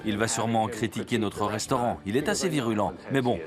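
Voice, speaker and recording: A man's voice: lowish voice, brisk, close to an everyday voice. A man dubbing a worried restaurant owner. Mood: earnest. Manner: earnest, worried confiding. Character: weary middle-aged male restaurant owner, anxious. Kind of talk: dubbed reality TV confessional. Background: music bed.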